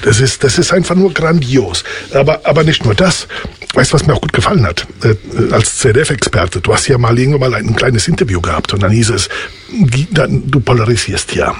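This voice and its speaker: A man's voice: medium pitch, expressive, brisk, a little hiss or hum. A man born in Italy hosting a radio interview, enthusiastic and effusive, praising his guest and laughing easily. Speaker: radio host. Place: radio studio.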